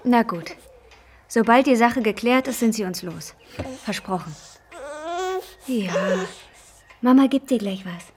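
A woman's voice: a little high, measured pace, pauses often, darkish tone, close to an everyday voice. An actress playing a young mother, polite and resolute under worry, in a naturalistic radio drama. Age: young adult.

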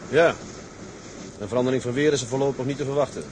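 A man's voice: mid-range voice, quick pace, noisy background, close to an everyday voice. A man playing a stern, lonely, principled tugboat captain in a radio drama, speaking earnestly and tersely. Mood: matter-of-fact. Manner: earnest, terse, matter-of-fact. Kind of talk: Dutch radio drama acting.

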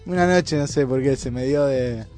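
A man's voice: mid-range voice, moderate pace, a little mellow, soft background music. A man with a Buenos Aires area Argentine accent, chatting casually as a guest in a radio studio. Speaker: studio guest, band member. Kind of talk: casual chat, anecdote.

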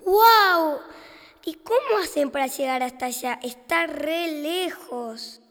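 A woman acts a naive young child in a children's radio drama, full of wide-eyed questions. The high voice has a Rioplatense Argentine accent and rings in a long reverb. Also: expressive, slow pace, fairly bright tone, full character voice. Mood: amazed, doubting. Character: curious, naive young child at bath time.

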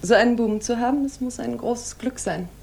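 A woman's voice: fairly high pitch, brisk, creaky, darkish tone, a little hiss or hum. A reserved, elegant lady. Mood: wistful. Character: reserved, elegant married lady with guarded melancholy. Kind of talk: 1960s German radio drama acting.

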